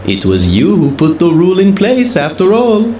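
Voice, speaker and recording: Flamboyant, swooping-voiced genteel gentleman. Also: high-pitched, huge pitch swings, moderate pace. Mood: grandly persuasive. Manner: florid, theatrical phrasing. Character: flamboyant, genteel older male hotel gentleman, jovial and ornate. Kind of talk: video game voice acting. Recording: roomy echo, faint background noise.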